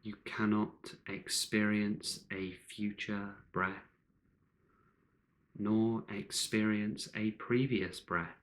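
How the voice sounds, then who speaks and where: A man leading a guided meditation in calm, measured short phrases with long pauses between them. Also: on the low side.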